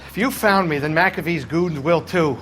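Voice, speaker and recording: An actor playing a cowardly, desperate crook under arrest, pleading in a video game with music behind him. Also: male voice, on the high side, moderate pace, noisy background, natural voice. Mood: frightened.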